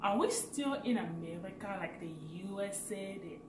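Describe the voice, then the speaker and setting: A woman in her late twenties from Africa, with a French accent, chatting casually as a vlogger and telling a personal story with lively, laughing energy. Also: mid-range voice, normal speed, reverberant, recorded from a distance, background noise. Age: late 20s. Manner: chatty and animated, laughs while telling stories.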